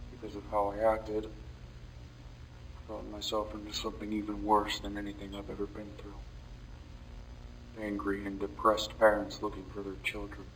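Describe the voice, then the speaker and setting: Casting: male actor as brooding, remorseful young man for horror audio drama; low, flat, halting delivery, captured off-mic with faint noise. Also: distant mic, natural voice, poor audio. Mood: grim, remorseful. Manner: somber, halting, confessional with heavy pauses. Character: guilt-ridden, brooding young man confessing dark memories. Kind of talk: scripted audio-log monologue, horror fan drama.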